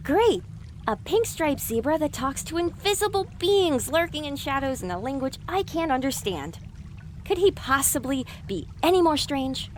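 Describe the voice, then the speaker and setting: Woman playing a high-voiced sarcastic young pony. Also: big pitch swings, normal speed, a little hiss or hum. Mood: exasperated. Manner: wry, exasperated. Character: sarcastic, exasperated young female pony from an underground stable. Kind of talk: audio drama acting.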